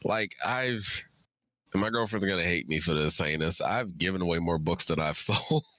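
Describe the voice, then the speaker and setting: Deep, bright-voiced man, close and dry. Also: moderate pace, no room sound. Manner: earnest, informal. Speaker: guest, writer. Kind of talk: casual interview chat.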